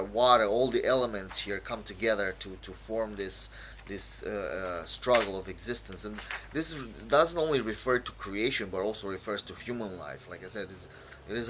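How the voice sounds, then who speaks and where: A man from Romania, whose first language is Romanian, explains his own poem in a halting way, full of fillers and repeated words. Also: fairly low pitch, background noise. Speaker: poet.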